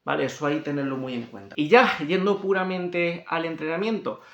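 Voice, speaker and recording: High-voiced Spanish man in an echoey room. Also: a little fast, dull audio. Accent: European Spanish. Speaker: fitness coach presenting a training guide. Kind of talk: informal explanatory talk to camera.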